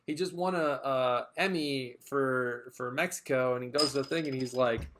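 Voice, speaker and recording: A man's voice: mid-range voice, normal speed, background noise. A man co-hosting a casual chat, rambling and hesitant with lots of fillers and restarts. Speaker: podcast co-host.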